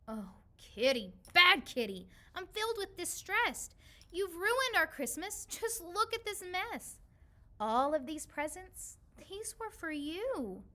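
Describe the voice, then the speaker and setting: A woman voicing an exasperated cat owner in a children's storybook, dramatic and loud. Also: very high voice, sing-song, slow pace, pitched above the performer's own voice, full character voice. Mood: distressed. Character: exasperated pet owner scolding a naughty cat. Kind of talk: children's storybook read-aloud.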